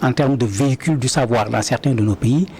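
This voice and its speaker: A man's voice: medium pitch, fairly quick, darkish tone, slight background noise. A man answering interview questions earnestly, often repeating words as he starts a sentence. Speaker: interview guest.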